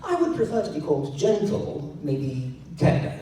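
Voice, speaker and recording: A man's voice: a little high, big pitch swings, moderate pace, warm tone, voice pushed up. Man putting on a cheeky, smug archlord, distant and echoey. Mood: mock offended. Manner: theatrical, smug, teasing. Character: cheeky, self-satisfied male archlord, playfully wounded. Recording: echoey noisy room, recorded from far off.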